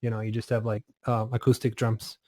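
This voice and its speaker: A man in his twenties from Italy, a music producer chatting casually as a guest, relaxed and often laughing, with many fillers. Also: on the low side, brisk, no room sound, clean studio sound. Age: 20s. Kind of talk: casual interview over a call.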